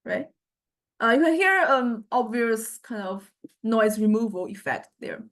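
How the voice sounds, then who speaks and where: High-voiced woman lecturer, halting delivery. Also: animated, a bit bright. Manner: halting, explanatory, often checking in with "right" and "okay". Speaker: university lecturer. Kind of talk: informal software demonstration lecture.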